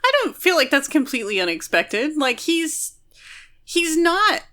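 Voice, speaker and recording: A woman's voice: high voice, sing-song, measured pace, sharp tone. A woman co-hosting a podcast, talking through a novel in a casual, analytical way and explaining her reasoning at length. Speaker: podcast co-host discussing a novel. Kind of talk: casual analytical book discussion.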